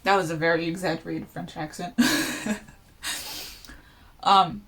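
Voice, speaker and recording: A woman's voice: fairly low pitch, lively intonation, slow pace, fairly bright tone. A woman book vlogger chatting casually to camera at home, playful, with lots of fillers and jokey asides. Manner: chatty and playful, many "like" and "you know" fillers.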